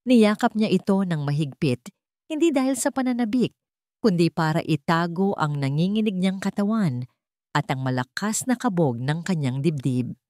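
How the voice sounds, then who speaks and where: A woman audiobook narrator reading a dramatic story with suspenseful, deliberate phrasing. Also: fairly low pitch, lively intonation, darkish tone, dry recording, studio quality.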